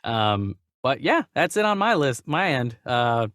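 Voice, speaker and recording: A man's voice: mid-range voice, very animated, unhurried, fairly bright tone, no room sound, clean studio sound. A man hosting a casual film podcast, chatting in an informal, joking way. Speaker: podcast host.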